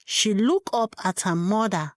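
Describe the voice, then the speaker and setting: Woman narrator for a read-aloud folktale; slow, expressive, dry close recording. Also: mid-range voice, no room sound. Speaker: storyteller. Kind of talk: scripted story narration.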